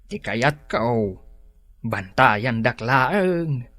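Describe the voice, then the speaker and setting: A man's voice: mid-range voice, lively intonation, extremely slow, fairly bright tone. A man playing a stubborn, ailing older father in a radio drama who teases and scolds his family. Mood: weary.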